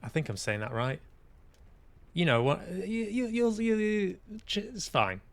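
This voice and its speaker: A man's voice: sits high, big pitch swings, slow, creaky, no room sound. Rambling English man hosting a stream. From Manchester, England. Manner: rambling, self-deprecating, dry humour. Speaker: podcast host and streamer. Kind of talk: casual livestream chat.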